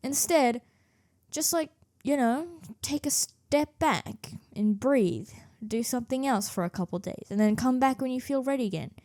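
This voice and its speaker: A woman's voice: sits high, expressive, moderate pace, a little mellow, no room sound, clean studio sound. A woman narrating a video about her animation work in a casual, jokey, self-deprecating way. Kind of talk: casual scripted video commentary.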